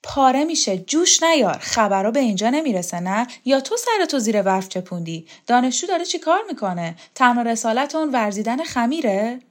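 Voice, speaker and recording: A brash revolutionary woman of a literary audiobook, acted out by a woman narrator. She speaks high and insistently, goading her listeners with a quick, defiant tongue. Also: very animated. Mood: taunting, excited.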